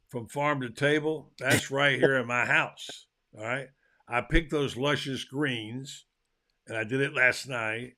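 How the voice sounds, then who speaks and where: An older man hosts a live talk show, chatting in a folksy, easygoing way. His voice is gravelly and bright, and he calls out loudly to guests who can't hear him. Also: medium pitch. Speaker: host. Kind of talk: casual live show chat.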